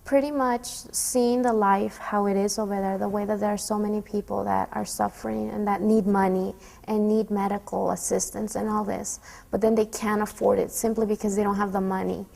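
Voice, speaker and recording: An earnest young woman from Honduras talks reflectively as a student. Her voice has a gravelly fry and an even, level delivery. A long reverb hangs on the recording. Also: mid-range voice, normal speed. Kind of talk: personal testimony.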